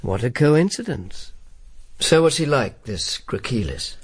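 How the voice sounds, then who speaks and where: An actor playing a wry, world-weary Roman informer in a radio drama, dry and sardonic. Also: male voice, mid-range voice, sing-song, measured pace, breathy edge, no room sound. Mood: probing, dry. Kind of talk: radio drama dialogue and first-person narration.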